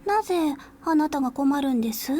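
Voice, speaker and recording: A woman playing a cheerful, eccentric, teasing high school girl in a Japanese visual novel, speaking politely. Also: expressive, rapid-fire, warm tone. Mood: calm, unsettling.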